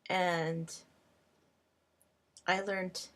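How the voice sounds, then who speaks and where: Hungarian-native woman talking to camera, slow and careful, a bit low for a woman. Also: level tone, a bit bright. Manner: deliberate, halting delivery in short phrases. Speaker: language tutor. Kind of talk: personal vlog monologue.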